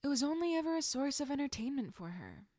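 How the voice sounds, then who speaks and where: A woman voicing an encouraging adult female martial arts teacher in measured, instructive story dialogue. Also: high voice, big pitch swings, darkish tone, dry recording, studio quality, voice pushed up. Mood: calm, reassuring. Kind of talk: audiobook-style character dialogue.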